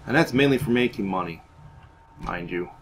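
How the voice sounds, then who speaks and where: A man's voice: lowish voice, lively intonation, normal speed, a little hiss or hum. A man giving casual, half in-character roleplay commentary over a video game, moving between muttered asides and theatrical remarks. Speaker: gaming video host.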